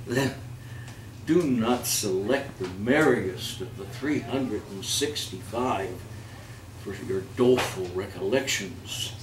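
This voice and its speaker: A man reading literary pieces aloud as a host, with measured, declamatory, deliberate phrasing. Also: lowish voice. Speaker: reader and host of a holiday readers theater. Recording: echoey room, distant mic, faint noise.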